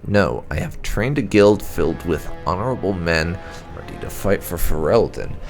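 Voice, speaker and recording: A man reading fantasy game documents aloud for a playthrough, in a measured, deliberate and formal storytelling manner. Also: low voice, vocal fry. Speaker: gaming YouTuber reading in-game texts aloud.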